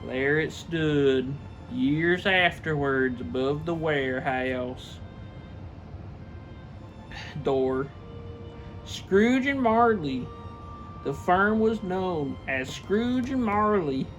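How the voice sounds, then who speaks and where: A man's voice: on the high side, expressive, extremely slow, long pauses, a bit bright, faint music behind. A man reading a classic story aloud theatrically and emphatically, stumbling over the text and dropping in casual asides. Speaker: reader, show host.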